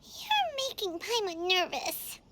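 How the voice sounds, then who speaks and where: A woman voicing a tiny, excitable, childlike fairy companion in a video game, with a squeaky voice. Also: takes it slowly, background noise, full character voice. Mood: curious, chatty. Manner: refers to herself in third person, exclaims readily. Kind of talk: animated video game voice acting.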